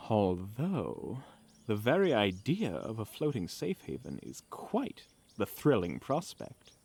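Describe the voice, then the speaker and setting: A man's voice: fairly low pitch, huge pitch swings, slow, creaky, darkish tone, husky, heavily acted. A man playing a well-spoken, curious fantasy adventurer with a wordy, refined, theatrical manner. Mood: curious, musing.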